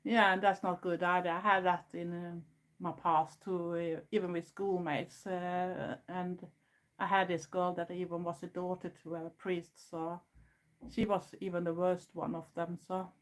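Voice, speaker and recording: A woman's voice: lowish voice, level tone, a little slow, low-quality recording. A woman in her fifties with a Swedish accent, a native Swedish speaker, chatting casually and frankly with viewers on a livestream in plain, earnest second-language English. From Sweden. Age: 50s. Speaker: goth YouTuber hosting a livestream. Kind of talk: casual live chat answering viewers.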